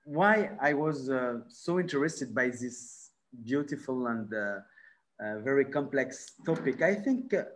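A man in his forties from France with a French accent, speaking on a video call and often pausing for the right word. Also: mid-range voice, expressive. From born in Morocco, raised in France. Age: 40s. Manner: halting, searching for words, many fillers; gestures with his hands while talking. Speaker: film director. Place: bright room at home. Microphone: laptop mic on a video call.

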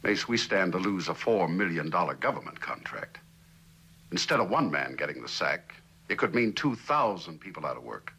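An actor playing a stern, deliberate middle-aged company boss in a 1960s television drama. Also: male voice, low-pitched, sing-song, pushed and bright, breathy edge, heavily acted, rough recording.

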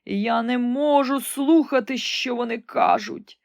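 A dying old emperor, as a woman reading the tale puts him on, crying out in slow, stretched-out pleas. His voice sits a little above the narrator's usual tone and grows loud when he begs. The sound is close and dry. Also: fairly high pitch, vocal fry, no room sound, pitched above the performer's own voice. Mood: desperate. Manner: slow, drawn-out pleading cries.

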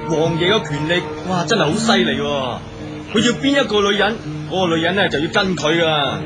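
A man's voice: on the high side, expressive, a little fast, over loud music, natural voice. A man acting a teasing, boisterous Mongolian drinking companion in a radio drama, exclaiming and drawing out words in a Hong Kong Cantonese accent. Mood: curious, impressed.

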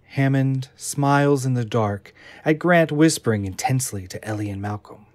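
A man narrating the scene descriptions of an audio drama in a plain, even reading. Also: mid-range voice, normal speed, no echo. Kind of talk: scripted narration of stage directions.

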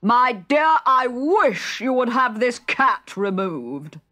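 A woman's voice: fairly high pitch, animated, takes it slowly, bright, forceful tone, pitched above the performer's own voice, heavily acted. A woman voicing a blustering, pompous male king with emphatic delivery in a children's storybook reading. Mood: disapproving.

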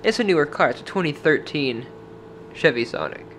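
A man's voice: on the high side, lively intonation, a little fast, a bit bright, a little hiss or hum. A young man from Washington state, talking into a handheld camera in a dim motel room and telling a rambling, casual story full of "like" fillers. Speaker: vlogger. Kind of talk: casual travel vlog with voice-over. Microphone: camera held at arm's length.

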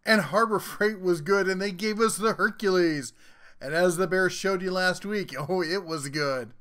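A man's voice: high-pitched, moderate pace, fairly bright tone. A man hosting a tool review channel, giving a casual, folksy and jokey rundown of a new product as a voice-over.

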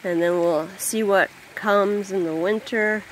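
A person talking casually outdoors by a backyard pond into a handheld phone, rambling and hesitant with many pauses and ums. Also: mid-range voice, fairly even intonation, a bit bright, slight background noise, rough recording. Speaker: vlogger. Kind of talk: casual spoken vlog. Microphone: phone held by the speaker.

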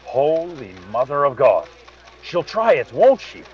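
A man playing an excitable, kindly Irish sidekick with an Irish accent in a radio mystery. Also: fairly high pitch, unhurried. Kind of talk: radio mystery play acting. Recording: dull lo-fi video-call sound.

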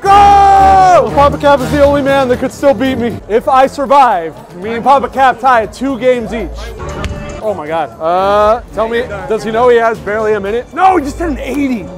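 A young man with a very high, bright voice calls the action in hyped bursts, yelling whenever something happens. He films himself on an action camera held at arm's length outdoors in a stadium at night. Crowd chatter and loud music fill the air behind him. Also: lively intonation, normal speed. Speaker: vlogger. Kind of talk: excited running commentary. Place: outdoor stadium golf bays at night, rain.

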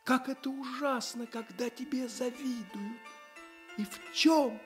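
Woman acting a little polite ship doctor, highish plaintive voice, radio drama, faint music. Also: unhurried. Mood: troubled, hurt. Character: tiny, earnest male ship's doctor, sensitive and polite. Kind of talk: Russian radio play acting.

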